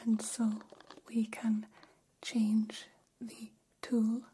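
A woman voicing a gentle, curious female alien in an ASMR roleplay, whispering softly in formal, halting, literal phrases. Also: fairly high pitch, monotone, a little hiss or hum, rough recording. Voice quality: soft, breathy whisper. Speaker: ASMR performer.

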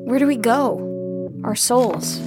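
Earnest young band musician, music behind. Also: female voice, fairly high pitch, expressive, takes it slowly. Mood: brooding, worried. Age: young adult. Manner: casual, earnest. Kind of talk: audio drama acting.

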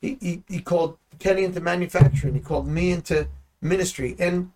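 A man in ministry teaching the Bible in conversation, animated and full of rhetorical questions. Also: sits high, fairly quick, a little hiss or hum. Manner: animated, asks rhetorical questions, acts out dialogue.

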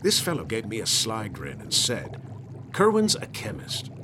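A man's voice: lowish voice, wildly swooping pitch, moderate pace, background noise. A man playing a suspicious, gossipy old colonial farmer in a radio drama, speaking emphatically and conspiratorially. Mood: agitated, indignant. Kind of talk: radio drama acting in period speech.

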